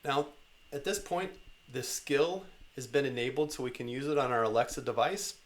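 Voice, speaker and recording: A man's voice: mid-range voice, normal speed. Man presenting a step-by-step tutorial. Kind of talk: instructional screencast narration.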